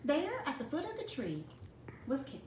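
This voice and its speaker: A woman reading a picture book aloud to young children in a deliberate way, pausing for asides to the listeners. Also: high voice, wildly swooping pitch, a little mellow. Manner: slow, deliberate phrasing for children. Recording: roomy, distant mic with clear background noise.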